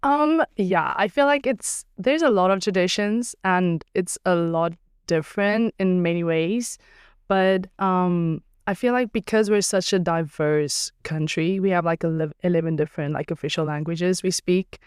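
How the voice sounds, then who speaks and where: A woman's voice: fairly low pitch, moderate pace, smooth, no echo, studio quality. A young woman from South Africa, a native Afrikaans speaker with a South African accent, guesting on a podcast and explaining things with many ums and likes. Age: young adult. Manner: hesitant, many ums and likes, laughs easily. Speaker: guest athlete. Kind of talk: casual podcast chat.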